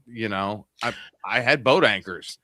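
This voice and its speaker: A man in his fifties from upstate New York talks casually on a ham radio livestream, wandering through his thoughts with plenty of ums and restarts. His voice sits low, and the sound is dry with no room echo. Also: a little slow, pushed and bright. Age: 50s. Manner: rambling, many fillers and restarts. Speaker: ham radio stream host. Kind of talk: casual group chat on a livestream.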